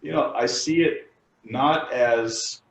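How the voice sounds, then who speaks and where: A man's voice: mid-range voice, slow, vocal fry. Hesitant man heard from a distance. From New York area, United States. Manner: hesitant, many fillers and restarts. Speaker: architect, firm co-founder. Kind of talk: interview answers. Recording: distant mic, dull roomy sound.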